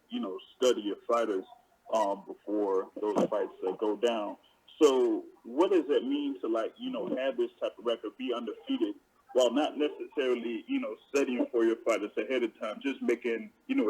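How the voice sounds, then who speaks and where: A man's voice: mid-range voice, darkish tone, a little hiss or hum, poor audio. A man working as a reporter, asking casual questions on a media conference call.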